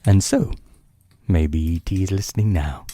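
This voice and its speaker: A man hosting a storytelling podcast, narrating from a script in bedtime-story style with dramatic pauses and savoured phrasing. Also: deep voice, warm tone.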